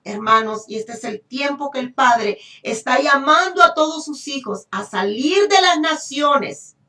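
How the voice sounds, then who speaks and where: Female preacher, Honduran accent, emphatic and unhurried delivery, heard from a distance in an echoey room. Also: sits high, bright, forceful tone. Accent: Honduran Spanish. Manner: emphatic, repeats phrases, checks in with rhetorical questions. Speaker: preacher teaching a congregation. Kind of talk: sermon, Bible teaching.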